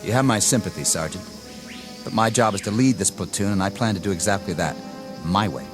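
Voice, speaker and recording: An actor playing an arrogant, inexperienced army lieutenant in a radio drama, with a clipped, formal, commanding manner. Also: male voice, fairly low pitch, fairly quick, close to an everyday voice. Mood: stern. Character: arrogant, rigid, inexperienced young army lieutenant, eager for combat. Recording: dramatic music under the dialogue.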